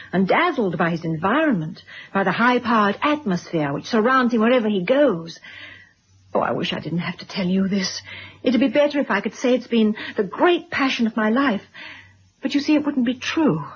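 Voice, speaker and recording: Casting: middle-aged mistress, very husky, frank and self-deprecating, 1970s radio play, dull lo-fi sound. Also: female voice, medium pitch, lively intonation, moderate pace. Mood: frank, wistful. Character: candid, apologetic mistress confessing to her lover's wife. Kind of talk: 1970s radio drama acting. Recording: dull lo-fi vintage radio recording.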